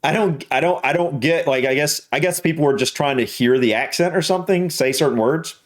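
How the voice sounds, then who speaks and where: A man's voice: on the high side, brisk, soft background music. A man with a Southern US accent hosting a reaction video, chatting casually and hesitantly, often restarting and repeating his words. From United States.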